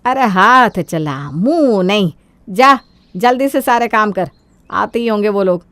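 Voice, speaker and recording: Woman playing a bossy, scheming mother-in-law, animated. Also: mid-range voice, measured pace, dry recording. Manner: scolding, domineering, brazen. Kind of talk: Hindi cartoon moral story acting.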